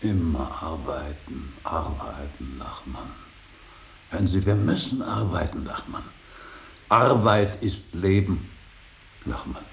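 An actor playing a stern, earnest older painter and professor in a radio play, preaching duty with emphatic, deliberate repetition. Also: male voice, expressive, exaggerated voice. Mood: earnest, admonishing. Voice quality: gravelly, very deep. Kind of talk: German radio play acting. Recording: hissy radio drama recording.

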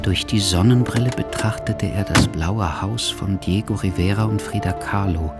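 A man narrating a literary radio drama in scripted prose. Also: low voice.

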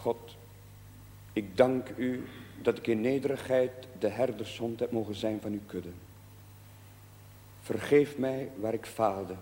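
Solemn priest in an echoing church. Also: male voice, fairly low pitch, mellow. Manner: measured, emphatic preaching with rising and falling cadences. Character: devout male village priest bidding his parish farewell. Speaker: parish priest. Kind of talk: solemn sermon in a television drama. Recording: echoey church hall reverb, background hiss.

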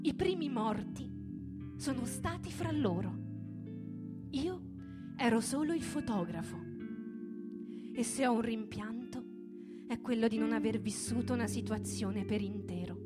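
A woman giving a theatrical, declamatory reading in deliberate phrases, like a stage performer telling a story. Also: a little high, expressive. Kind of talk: theatrical dramatic reading over music. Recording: live radio reading with music underneath.

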